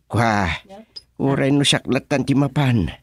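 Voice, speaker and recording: Radio comedy role: fretful Filipino dad, male actor, unhurried delivery, mid-pitched and excitable. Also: breathy edge. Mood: pleading, curious. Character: excitable father fretting over his lost cellphone. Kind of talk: Ilocano radio comedy drama acting.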